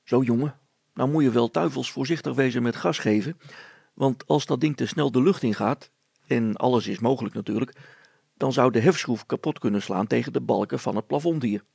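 A man voicing an excitable middle-aged inventor and father in a story, anxious and overjoyed, stammering and repeating himself. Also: medium pitch, vocal fry, husky, no room sound. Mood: cautioning.

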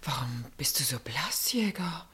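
Anxious devoted wife, middle-aged, slightly low voice, soft, pleading, radio drama. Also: female voice, lively intonation, unhurried, slightly rough, full character voice. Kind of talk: German radio play acting.

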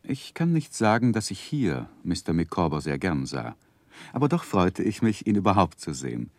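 An actor playing a reflective, wry gentleman who narrates his own youth in a literary radio play in German. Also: low-pitched, very animated, normal speed, no echo. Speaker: first-person narrator.

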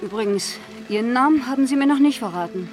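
An actress playing a famous film star, a caring but guarded older sister in grief, in naturalistic radio drama style. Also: female voice, on the high side, animated, fast talker, a little mellow, lightly acted. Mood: caring, brisk.

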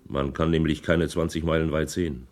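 An actor playing a plain, hesitant male ship's crewman who answers haltingly as a witness in a radio drama. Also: a bass voice, fairly even intonation, darkish tone. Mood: uncertain. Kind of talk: 1980s radio drama acting.

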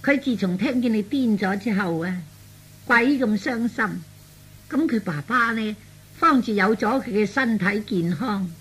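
A man voicing a sharp, scolding young jilted fiancée in husky falsetto for a radio comedy. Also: measured pace, full character voice. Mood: scornful, indignant. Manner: scolding, taunting, emphatic. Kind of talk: Cantonese radio comedy acting.

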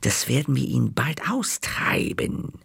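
A man voicing a group of mocking library books, speaking in a hushed, sneering tone. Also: medium pitch, very animated, a little slow, vocal fry, husky, dry recording, studio quality, pitched above the performer's own voice, full character voice.

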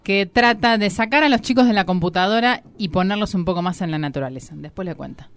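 Female radio co-host, crisp voice, playful segment teasers over light music. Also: medium pitch, fast talker. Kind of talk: radio talk show banter. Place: radio studio.

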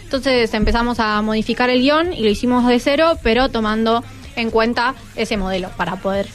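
A woman's voice: fairly high pitch, brisk, soft background music. A teenage girl with a Rioplatense Argentine accent, chatting casually and in slang as a student guest.

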